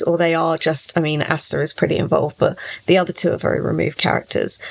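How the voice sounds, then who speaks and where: Female author on a panel, lowish voice with vocal fry, conversational delivery. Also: rough recording. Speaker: novelist and panellist. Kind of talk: casual discussion of own writing.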